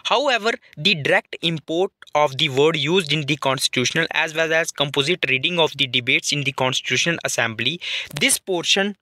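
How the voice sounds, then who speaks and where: A man giving a line-by-line newspaper editorial lesson, reading English sentences slowly and then explaining them in Hindi. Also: on the high side, animated, pushed and bright, dry recording.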